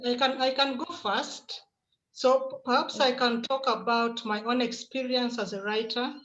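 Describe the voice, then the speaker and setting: A woman, answering as a writer on a conference panel, measured and reflective. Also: on the high side, fairly even intonation, fairly bright tone. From Uganda. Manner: measured, reflective, repeats words while thinking. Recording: echoey, dull video call audio.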